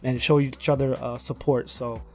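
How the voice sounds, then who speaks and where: A man's voice: medium pitch, level tone, normal speed, over music. A man from Texas chatting casually as a podcast guest, slangy and supportive. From Texas, United States. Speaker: podcast guest, co-host of another podcast. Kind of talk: casual chat.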